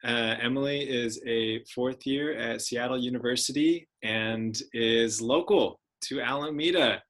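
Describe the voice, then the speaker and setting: A man with a bright, forward voice at average pitch hosts an online meeting. He introduces presenters informally and complimentarily, pausing often between phrases. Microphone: video call.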